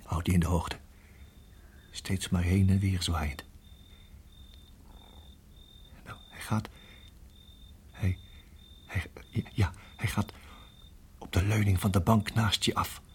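An actor playing a tense husband in a radio drama, speaking in a hushed, halting, urgent way with a husky voice. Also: male voice, sits low, fairly even intonation, slight background noise. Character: tense husband guiding wife through deadly snake danger. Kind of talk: radio drama acting and narration.

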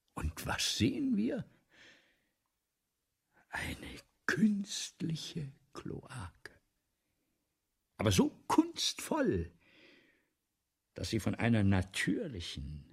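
An actor playing an excitable, domineering, grandiose playwright in a German radio play, breathy and theatrical, often lapsing into whispers. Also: male voice, a little high, full character voice. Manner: theatrical, pompous, insistent.

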